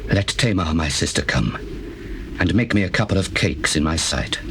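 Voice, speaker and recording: A man playing a lovesick, brooding young prince in a Bible drama, speaking archaic lines slowly and theatrically. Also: expressive. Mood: sickly longing. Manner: low, slow theatrical delivery of archaic biblical dialogue. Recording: clearly noisy old cassette tape.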